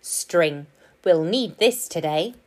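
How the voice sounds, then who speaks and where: A woman plays a kindly male park keeper from a storybook. He is patient and gently explanatory, with pitch that lifts and swings as he talks at an easy pace. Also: lively intonation, takes it slowly.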